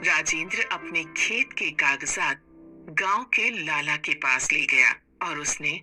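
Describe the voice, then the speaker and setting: A woman's voice: low-pitched, lively intonation, measured pace, pushed and bright, soft background music. A woman storyteller reading scripted narration for a moral story.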